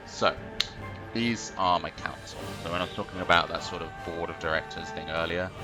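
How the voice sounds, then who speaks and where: Man, very low voice, gravelly, casual game walkthrough, music underneath. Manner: rambling, filler-heavy explaining. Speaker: let's play host. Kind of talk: casual gameplay tutorial commentary.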